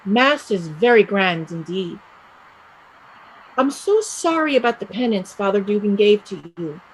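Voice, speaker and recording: Woman acting a husky, restless young Irish villager. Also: mid-range voice, animated, unhurried, rough recording. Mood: earnest, hopeful. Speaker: actor in a staged play reading. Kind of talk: dramatic stage play reading.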